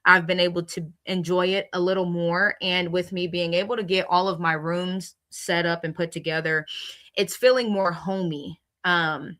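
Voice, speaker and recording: Female American co-host, candid and emphatic, with a bright, punchy voice pitched a little low. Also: steady tone, normal speed. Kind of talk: casual chat, candid personal reflection.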